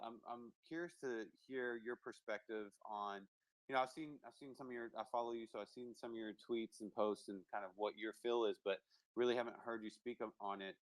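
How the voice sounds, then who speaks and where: A man's voice: on the low side, a bit bright, dry recording. A man hosting a live online audio chat, upbeat and casual, thanking speakers and prompting questions. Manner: upbeat, many fillers and restarts while hosting. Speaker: host.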